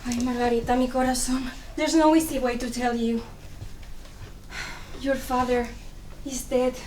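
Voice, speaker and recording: A woman playing a blunt, lively Cuban confidante in a dramatic play reading, reproachful, with Spanish endearments sprinkled in. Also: a little high, unhurried, natural voice. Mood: grieving, gentle. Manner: chiding, mixes in Spanish endearments. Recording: echoey room, distant mic, faint noise.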